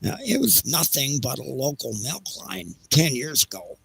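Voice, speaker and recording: Gravelly, blustering railroad executive played by a man. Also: mid-range voice, big pitch swings, moderate pace, background noise. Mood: evasive, irritated. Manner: stammering, blustering, hesitant. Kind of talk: audio play dialogue.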